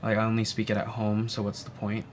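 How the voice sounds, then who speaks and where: A young man, telling a personal story in a reflective, soft-spoken way with many pauses and "um"s. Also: on the low side, completely flat delivery. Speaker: interviewee. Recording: faint ambient noise.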